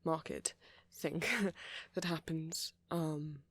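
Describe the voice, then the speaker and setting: A man playing a friendly, flirty vampire in an intimate ASMR roleplay, softly spoken, polite and apologetic as he rambles. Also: a little high, flat delivery, very slow, no room sound. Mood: friendly, inviting. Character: friendly, flirty, gentlemanly male vampire, chatty and considerate.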